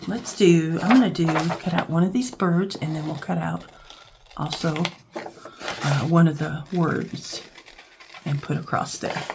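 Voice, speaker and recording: A woman with a scratchy, hoarse voice from a cold, casually talking through a craft project as she works, thinking aloud. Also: sits low, unhurried. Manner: relaxed, thinking aloud while working with her hands. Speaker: craft channel host. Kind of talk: casual crafting tutorial narration.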